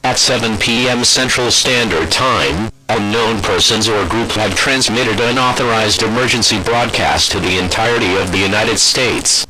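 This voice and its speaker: A male announcer with a lowish pitch reads out an emergency alert. The wording is scripted and formal, and he keeps an impersonal, official tone. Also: normal speed, hardly any pauses, music in the background, poor audio.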